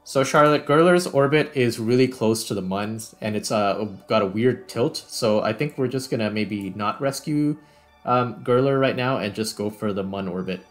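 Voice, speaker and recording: A man with a fairly low voice hosts a gaming session in casual commentary. Faint music plays behind his talk. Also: normal speed. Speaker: host, gamer. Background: background music.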